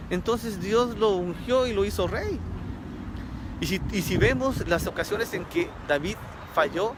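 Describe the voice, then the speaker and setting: A man's voice: high-pitched, noisy background. A man from Ecuador preaching a sermon, earnest, repeating key phrases for emphasis.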